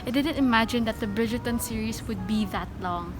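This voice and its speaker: A woman's voice: fairly high pitch, fairly quick, music in the background. Chatty Filipino woman vlogging. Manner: chatty, many fillers and self-corrections. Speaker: book vlogger. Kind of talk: casual vlog chat.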